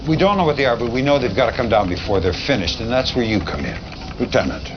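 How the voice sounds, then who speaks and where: A man's voice: mid-range voice, big pitch swings, fast, close to an everyday voice. An actor playing a blunt, weary general briefing his commander in a video game cutscene. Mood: urgent resolve. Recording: dull lo-fi audio, clear background noise.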